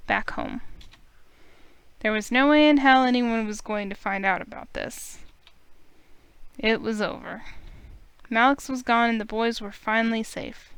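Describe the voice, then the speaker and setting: A woman reading a visual novel aloud in steady first-person narration. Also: on the high side, smooth, dry recording. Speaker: let's play reader.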